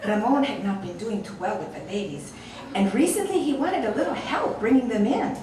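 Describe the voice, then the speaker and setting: A woman reads a comic short story aloud with wry amusement, her pitch lively and bouncing. She sounds set back from the mic in a very echoey room, with steady noise underneath. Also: fairly quick, recorded from a distance. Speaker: narrator reading a short story. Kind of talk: audiobook story reading.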